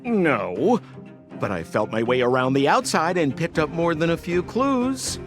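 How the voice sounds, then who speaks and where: A man playing a smooth-talking, boastful young swindler guide in a video game, with a theatrical, wheedling salesman's manner. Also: a little high, sing-song, unhurried, music in the background. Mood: defensive, boastful.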